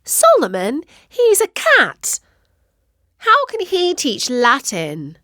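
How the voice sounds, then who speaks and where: A woman's voice: high-pitched, huge pitch swings, slow, pushed and bright, no room sound, clean studio sound, pitched above the performer's own voice, full character voice. A woman voicing a reluctant, cheeky young witch schoolgirl in a storybook, pleading and emphatic. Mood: protesting, incredulous.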